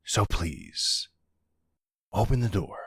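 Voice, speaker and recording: A man plays an anxious boyfriend in an intimate roleplay drama. His voice is very deep and husky, and he talks slowly and earnestly. He keeps pressing his partner with pleading, exasperated concern. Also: lively intonation, fairly bright tone, no room sound, clean studio sound, exaggerated voice. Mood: worried, pleading.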